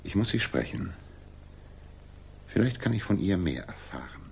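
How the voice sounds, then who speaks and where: An actor playing a calm, probing gentleman detective with measured, formal courtesy and a husky voice in a 1960s German radio crime drama. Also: sits low, slight background noise, exaggerated voice. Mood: composed.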